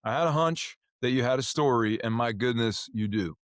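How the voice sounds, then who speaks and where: A man's voice: medium pitch, moderate pace, dry recording, studio quality. A man hosting a podcast interview, talking casually and chattily, often repeating and restarting words. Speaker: podcast host.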